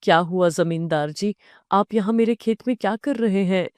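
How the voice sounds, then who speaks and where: A woman's voice: mid-range voice, moderate pace, no room sound, studio quality, natural voice. Woman playing an earnest, humble village farmer. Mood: bewildered. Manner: earnest, pleading. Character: honest, humble, worried male farmer.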